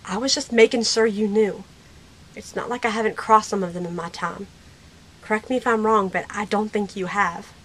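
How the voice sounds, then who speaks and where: A woman's voice: medium pitch, steady tone, moderate pace, fairly bright tone, natural voice. Defiant teenage frontier girl over noisy ambience, acted by a woman. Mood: teasing. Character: stubborn, self-reliant, sassy teenage frontier girl. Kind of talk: amateur audio drama acting.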